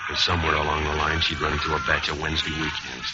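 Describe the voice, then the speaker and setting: A man acting a world-weary hardboiled jazz cornetist in a vintage American radio noir, laconic, wry and deadpan. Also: rumbling low, very fast. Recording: muffled old radio recording, music behind.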